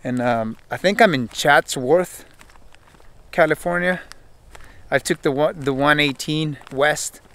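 A man's voice: on the high side, slow, pauses often, fairly bright tone. A young man in his twenties vlogging while exploring outdoors, thinking aloud and talking straight to viewers through a handheld camera. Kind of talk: casual vlog narration while exploring. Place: outdoors on open hillside with brush. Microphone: handheld camera at arm's length.

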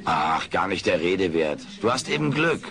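A man's voice: low voice, big pitch swings, normal speed, creaky, a crowd in the background, full character voice. A man playing a smug, wisecracking furry alien comedian in a German audio drama. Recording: noisy old cassette audio drama.

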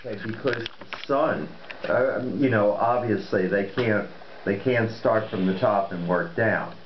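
A man's voice: on the low side, a little slow, slight background noise. An older man at a family dinner table, telling a rambling story full of okays and restarts. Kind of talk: casual chat, storytelling. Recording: lo-fi echoey room.

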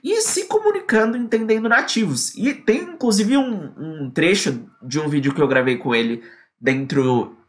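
A man's voice: high-pitched, normal speed. A young man from Brazil teaching an online English lesson in Portuguese, talking energetically into a webcam in a small room. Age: young adult. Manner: lively and energetic, quoting a student in acted lines. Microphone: webcam at close range.

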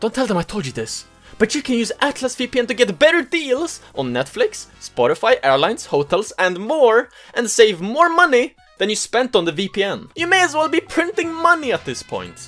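A woman hosting a channel, giving a playful, animated scripted sponsor read. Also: a little high, moderate pace, faint music behind. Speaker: channel host.

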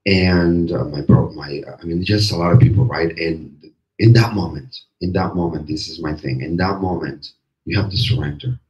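A middle-aged man with a deep, strained voice gives halting personal testimony in a group discussion, repeating phrases for emphasis into a handheld microphone. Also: darkish tone. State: voice strained from yesterday's screaming. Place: small indoor meeting room. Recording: roomy, dull handheld-mic sound.

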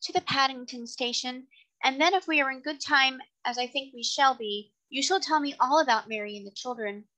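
A woman's voice: sits high, brisk, sharp tone, pitched above the performer's own voice, poor audio. A woman voicing a gracious, well-to-do lady in a storybook, friendly, genteel and courteous. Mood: pleased, gracious.

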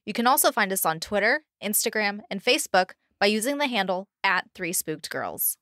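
A woman's voice: mid-range voice, big pitch swings, brisk, a bit bright, no echo, studio quality. A woman podcast co-host reading a casual scripted promo.

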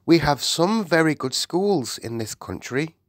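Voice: mid-range voice, expressive, unhurried, dry recording, clean studio sound, pitched above the performer's own voice. A storybook reader voicing a gracious, self-composed queen with a polite, formal manner.

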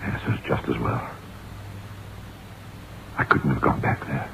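An actor playing a haunted, frightened novelist who tells his tale deliberately in an old-time radio drama. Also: rumbling low, steady tone, gravelly, fairly bright tone, slight background noise, heavily acted. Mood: shaken, grieving. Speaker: actor and narrator. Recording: muffled old radio recording, music behind.

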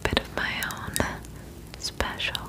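A woman in her twenties playing a friendly witch healer in an ASMR roleplay, whispering softly and soothingly close to the microphone. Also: lightly acted. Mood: soothing, calm. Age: 20s. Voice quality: soft breathy whisper. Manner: slow, gentle, soothing, unhurried. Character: gentle friendly young witch healer brewing potions. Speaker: ASMR performer. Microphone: close sensitive microphone.

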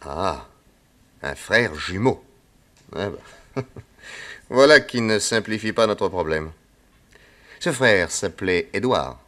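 A shrewd, wry radio-drama detective with a deep, low voice. He questions people firmly and chuckles dryly between points. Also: lively intonation, slow, long pauses, sharp tone, full character voice. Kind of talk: old French radio drama acting.

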